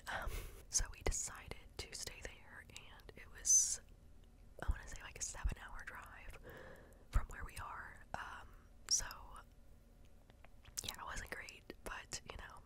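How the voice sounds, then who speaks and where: A woman in her twenties whispering a rambling ASMR storytime, a little congested, with lots of ums and likes, close on a studio mic in a dark room. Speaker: ASMR creator. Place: dark bedroom, indoors.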